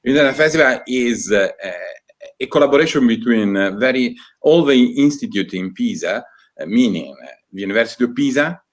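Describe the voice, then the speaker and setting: A man's voice: mid-range voice, expressive, a little fast. A man giving a welcome speech as a department director, with frequent hesitations. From Italy. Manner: hesitant, many fillers. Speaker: university department director, guest speaker.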